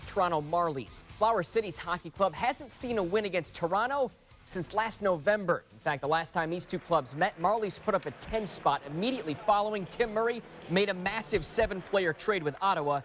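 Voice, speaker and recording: A man's voice: high-pitched, a little fast, fairly bright tone, faint music behind. A man narrating sports stories as a broadcast reporter, with punchy, dramatic phrasing.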